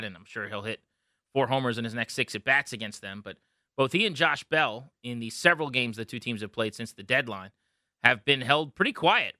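A man's voice: medium pitch, bright, forceful tone, dry recording, studio quality. An American man hosting a sports podcast, talking fluently and emphatically as he comments and interviews.

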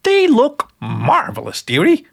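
Old female witch, cheerful and sly, gushing "dearie" type, put on by a male narrator; high and lively. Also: unhurried, vocal fry, exaggerated voice. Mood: doting. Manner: gushing, calls everyone dearie.

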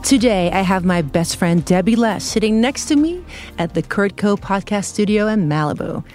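A woman hosting a podcast, warmly introducing her best friend and asking about her struggles. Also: mid-range voice, lively intonation, moderate pace, soft background music. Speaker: podcast host. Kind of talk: podcast interview. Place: podcast studio.

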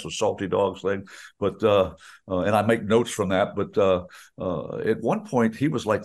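Folksy older radio play-by-play man. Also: fairly low pitch. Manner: folksy, digressive, many ums and restarts. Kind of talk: rambling radio sports chat.

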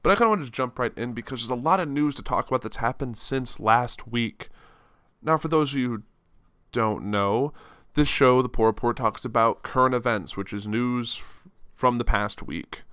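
A man from the Chicago suburbs chats through the week's news on his podcast, rambling and correcting himself as he goes. A cold has his sinuses stuffed up, but his voice still comes across bright and smooth. The recording is dry and close, with no room sound. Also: medium pitch, moderate pace, no room sound. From Chicago suburbs, Illinois. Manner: casual, rambling, self-correcting. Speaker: podcast host. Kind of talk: solo news-commentary podcast.

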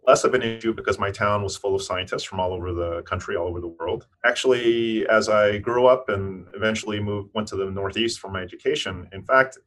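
Very deep-voiced man, thoughtful. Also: a little fast, few pauses, a bit bright. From United States (Eastern Tennessee). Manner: thoughtful, with frequent restarts and fillers. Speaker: physician and scientist guest. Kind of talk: interview conversation.

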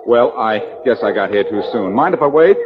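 An actor playing a wry, shrewd, self-assured amateur detective in a 1940s radio drama, probing with deceptively casual questions. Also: male voice, on the low side, animated, a little fast, natural voice. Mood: polite, casual. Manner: probing, wry, hesitating before pointed questions. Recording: dull, noisy 1940s radio recording.

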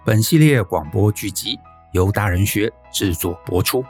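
A man's voice: lowish voice, lively intonation, moderate pace, a little mellow. A man reading a scripted promotional outro as an announcer. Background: music.

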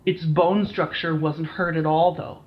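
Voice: mid-range voice, fairly even intonation, measured pace, close to an everyday voice. An actor playing a shaken, foul-mouthed military team captain in an audio drama, blunt and weary, on a slightly dull recording. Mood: haunted, angry. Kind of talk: dramatised incident interview, audio drama acting.

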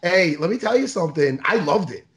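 A man in his forties hosting a casual video-call interview, loud and joking, teasing his guest. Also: sits high, animated, a little fast. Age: 40s. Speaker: host.

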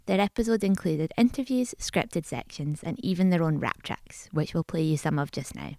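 A woman's voice: deep voice, normal speed, gravelly, background noise. A woman presenting a podcast, reading a scripted introduction in an upbeat, announcing manner.

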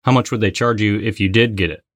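A man co-hosting a podcast in casual, playful banter, with an excitable, mock-dramatic streak. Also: on the low side, quick pace, dry recording, studio quality. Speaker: podcast co-host and trivia game player.

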